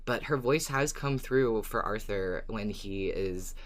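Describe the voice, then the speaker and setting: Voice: medium pitch, slow. A podcast co-host in casual, conversational fan discussion.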